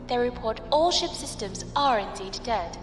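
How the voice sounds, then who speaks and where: A woman's voice: on the high side, lively intonation, normal speed, over music, lightly acted. An actress playing an obedient female gunner who speaks formally and deferentially to her villain master, with echoey reverb. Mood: submissive. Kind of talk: audio drama acting. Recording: echoey, long reverb, distant.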